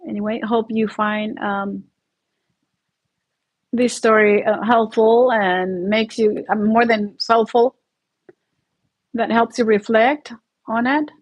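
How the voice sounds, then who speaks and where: A woman's voice: fairly high pitch, steady tone, takes it slowly, pauses often. A woman from Mexico with a Mexican Spanish accent hosting her own channel, speaking earnestly and laughing often while sick with the flu and a sore throat. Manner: earnest, heartfelt, laughs often mid-sentence. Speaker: YouTube channel host. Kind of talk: casual livestream monologue.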